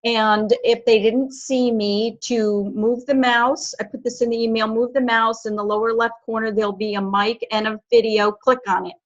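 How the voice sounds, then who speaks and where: A woman's voice: a little high, little pitch movement, moderate pace, few pauses. Chatty woman on dull lo-fi audio. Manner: chatty, repeats questions for emphasis. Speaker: podcast host and panel moderator. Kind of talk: casual panel discussion.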